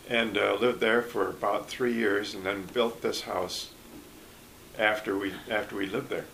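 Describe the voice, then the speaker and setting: An older man from Michigan recalling his past in a relaxed interview, speaking haltingly with frequent restarts and small chuckles. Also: on the low side, creaky, a little hiss or hum, poor audio. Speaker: oral history interviewee. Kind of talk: casual recollection.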